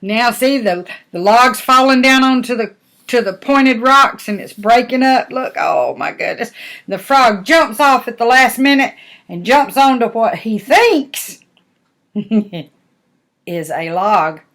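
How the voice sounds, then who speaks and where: A woman's voice: a little high, animated, slow pace, a bit bright. An older woman, a grandmother, reading a storybook aloud with folksy, chatty asides and questions to the listener.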